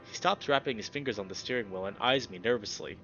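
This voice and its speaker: A man narrates a visual novel aloud with a bright, forward voice. His reading is expressive, with the odd stumble and reread. The sound is a little dull, and music plays softly behind him. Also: lowish voice, fast talker. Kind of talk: expressive narration of story text.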